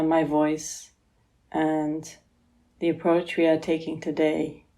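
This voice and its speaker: A woman guiding a relaxation meditation as a yoga therapist, speaking in a gentle, soothing manner with long pauses. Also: deep voice.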